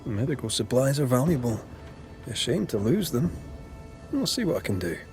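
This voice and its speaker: Blunt, animated colonial ghost hunter. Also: male voice, medium pitch, moderate pace, over music. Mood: firm, caring. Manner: wry, direct, archaic phrasing. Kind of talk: dramatic game dialogue.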